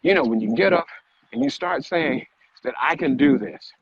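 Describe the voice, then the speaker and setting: A man with a slightly high voice gives a motivational talk on a live stream. He is emphatic and repeats himself, often posing rhetorical questions. The sound is a bit muffled, with some background noise. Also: animated, moderate pace, vocal fry. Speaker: vlog co-host and fitness motivator.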